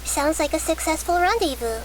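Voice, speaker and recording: A small, wise young deity from a video game, high in pitch. She speaks calmly and formally, choosing words with care, over a faint hiss. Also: a little fast, rough recording. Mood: calm, earnest. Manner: composed, careful, formal.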